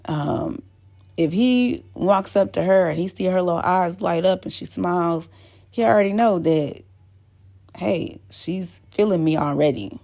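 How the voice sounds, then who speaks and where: A woman's voice: lowish voice, unhurried, vocal fry, warm tone. A woman host talking casually to her viewers, rambling with many fillers and restarts. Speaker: channel host. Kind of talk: casual talk to camera.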